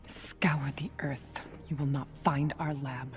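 A woman playing a smug, coolly calculating villain scientist in a video game, measured and condescending. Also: extremely low pitch, fairly even intonation, a little mellow, soft background music. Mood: cold defiance. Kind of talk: video game cutscene acting.

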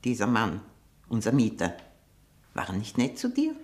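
An older woman playing a sharp, proud, nostalgic elderly aunt in a German radio drama, with a probing, declamatory manner. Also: rumbling low, huge pitch swings, measured pace, exaggerated voice.

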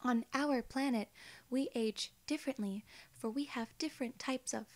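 A young woman playing a polite, curious alien gatekeeper in an ASMR roleplay, soft-spoken and partly whispering, with formal, quaint wording. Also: on the high side, moderate pace, natural voice. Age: young adult.

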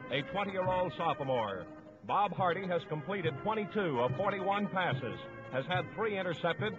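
A male sports announcer from 1950s radio with a high-pitched voice. He reads out player details in a formal, measured way. The broadcast is dull and lo-fi, with music playing clearly behind him. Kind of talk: 1950s radio football commentary, reading starting lineups.

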